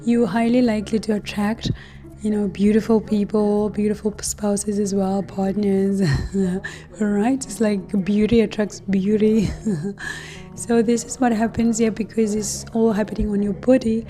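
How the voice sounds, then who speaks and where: A woman hosting an astrology talk to her viewers, hoarse and losing her voice, speaking casually with many fillers and soft laughs. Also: mid-range voice, soft background music. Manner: slow, relaxed, many fillers and light laughs. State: losing her voice, deeper than usual. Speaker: astrology channel host.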